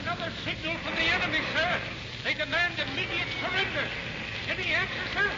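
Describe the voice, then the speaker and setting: Very high, excitable serviceman, old radio. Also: fairly quick, dull audio, a loud crowd. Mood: urgent. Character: excitable American serviceman sounding battle alerts. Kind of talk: 1940s radio drama acting. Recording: noisy, band-limited 1940s radio broadcast.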